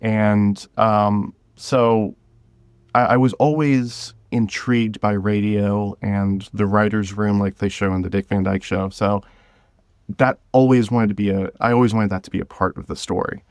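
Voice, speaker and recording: Male podcast guest, slightly low voice, casual and halting delivery, very dry recording. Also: steady tone, darkish tone, no room sound. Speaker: podcast guest, writer and creator. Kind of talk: casual interview chat.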